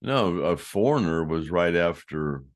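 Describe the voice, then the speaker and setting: An older man with a deep voice telling rambling stories in a casual chat, often trailing off and restarting. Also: lively intonation, measured pace. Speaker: host, former band roadie.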